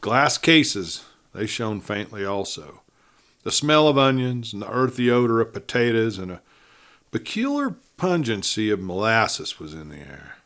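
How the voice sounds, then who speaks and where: A man's voice: fairly low pitch, expressive, measured pace, a bit bright. Man narrating a western, folksy storyteller. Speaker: audiobook narrator and host.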